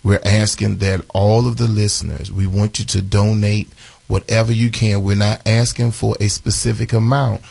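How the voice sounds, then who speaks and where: A man in his forties with a raspy voice, hosting a live morning radio show and chatting through announcements with lots of fillers and restarts. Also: on the low side, flat delivery, moderate pace, darkish tone, dry recording. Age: 40s. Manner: chatty, repeats phone numbers, many fillers and restarts.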